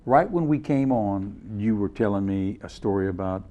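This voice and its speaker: A man from Louisiana hosting an interview, asking questions in a halting, conversational way. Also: lowish voice, expressive, dark tone. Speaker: host.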